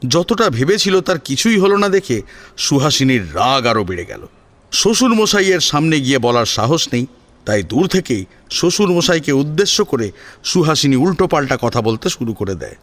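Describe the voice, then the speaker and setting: A man reading a scripted audio story as narrator, with expressive, dramatic phrasing. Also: mid-range voice, normal speed. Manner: expressive, rhetorical. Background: music.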